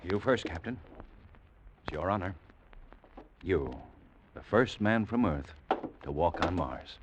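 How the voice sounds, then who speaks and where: Earnest ship's doctor, lively intonation. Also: male voice, sits low, takes it slowly, very noisy. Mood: hushed wonder. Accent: American. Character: earnest, weary male ship's doctor, fatherly, plain-spoken. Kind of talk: old-time radio drama acting. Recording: dull vintage 1950s radio recording. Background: clear dramatic music.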